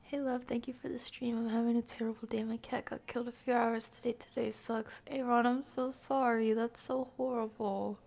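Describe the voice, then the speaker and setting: A woman streamer with a slightly high voice and plenty of vocal fry chats casually to her live audience. She is informal and playful, slipping easily into softer, breathier speech. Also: little pitch movement, moderate pace, hardly any pauses. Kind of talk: casual chat with live viewers.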